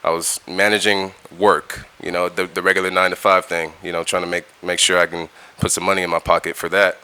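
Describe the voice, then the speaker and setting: A man's voice: deep voice, little pitch movement, a little fast, sharp tone, clear, resonant tone. A middle-aged man, a music producer talking in a casual interview, laid-back and slangy, often repeating words as he restarts. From California, United States.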